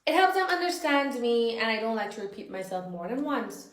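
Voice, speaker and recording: A woman's voice: a little high, big pitch swings, brisk, fairly bright tone. Casting: woman with a Dominica island accent, firm and emphatic, careful diction, recorded in an echoey room. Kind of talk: talking to camera.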